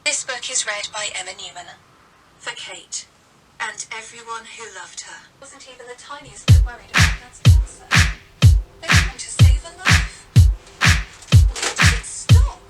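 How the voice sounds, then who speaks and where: A woman with a slightly high voice narrates an audiobook. She sounds a little away from the mic, played back with music clearly over her. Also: big pitch swings, a little slow, long pauses, recorded from a distance.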